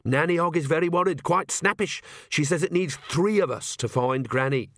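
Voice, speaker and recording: A man's voice: fairly high pitch, moderate pace, a bit bright, no room sound, studio quality, pitched above the performer's own voice, natural voice. A man voicing a sensible, worried young woman who is blunt and sceptical, in a storybook reading. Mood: worried, insistent. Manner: urgent, blunt, questioning.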